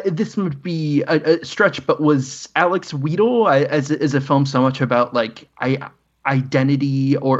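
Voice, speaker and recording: A podcast host asking halting, restarting questions in casual film talk. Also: medium pitch, dull audio.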